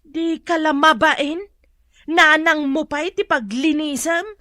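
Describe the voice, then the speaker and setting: Naggy wife who pays all the bills, high sharp voice. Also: female voice, steady tone, slow pace, no room sound, clean studio sound, full character voice. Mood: scolding. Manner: nagging, scolding. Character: nagging working woman who supports the household. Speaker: teacher. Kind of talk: radio drama acting.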